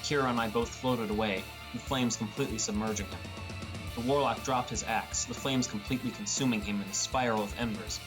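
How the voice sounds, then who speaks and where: A man's voice: lowish voice, fairly quick, music in the background. A man reading a scripted first-person story as an audiobook narrator.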